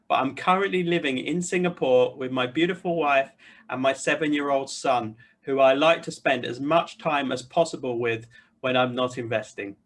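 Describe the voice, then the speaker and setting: A man's voice: medium pitch, normal speed. A middle-aged man with a British accent, earnestly introducing himself and speaking directly to his listeners as a video host. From United Kingdom. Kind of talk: personal introduction to camera, semi-scripted.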